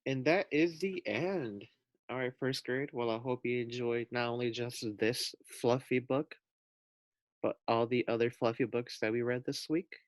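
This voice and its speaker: A man reading a children's storybook aloud as a teacher, with deliberate, clear phrasing. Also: mid-range voice, no room sound.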